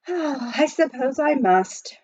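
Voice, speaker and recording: A woman voicing a composed, courteous and quietly confident butler in a children's storybook, with formal, polite phrasing. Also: high-pitched, animated, drawn-out words, no room sound, dull audio, pitched above the performer's own voice, exaggerated voice. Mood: politely confident.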